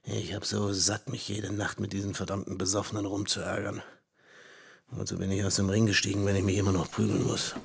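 An actor playing a weary, gruff ex-boxer barman with a rough voice in a German radio play. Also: on the low side, little pitch movement, fairly quick, heavily acted. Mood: weary, grumbling. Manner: gruff, colloquial.

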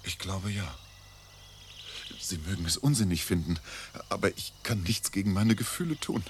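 A man playing an earnest, touchy young gentleman in love in a radio drama, speaking with some agitation. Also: low voice, expressive, moderate pace, slight background noise. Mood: earnest, agitated. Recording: outdoor scene with loud cricket ambience.

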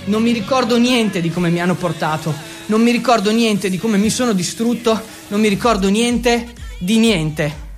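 A man's voice: sits high, normal speed, lightly acted. Man acting restless teenage boy over music. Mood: dazed, hungover. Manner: breathless, repetitive, builds intensity in short phrases. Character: reckless teenage exchange boy recounting his wild nights. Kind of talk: theatrical first-person monologue for radio.

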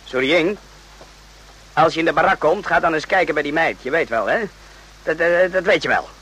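An actor playing an uneasy, rough-spoken soldier on night watch in a radio play, agitated and often repeating himself. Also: male voice, fairly high pitch, normal speed, fairly bright tone, rough recording. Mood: restless, insistent.

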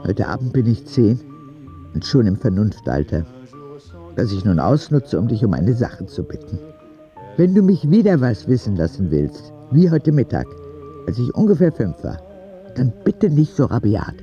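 A man's voice: mid-range voice, very animated, normal speed, dull audio, faint music behind, lightly acted. A man narrating an audio drama as a candid, vulnerable ten-year-old sick boy who confides in a naive, plain way. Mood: confiding, earnest.

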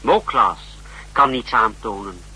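A defensive intelligence officer answers his superior formally in an old radio play. The voice is lowish and very hard-edged and piercing, over light hum and noise. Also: takes it slowly, bright, forceful tone, exaggerated voice. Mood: defensive, assured. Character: deferential, defensive secret-service official answering to his leader. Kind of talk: 1960s radio drama acting. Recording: noisy, humming old radio recording.

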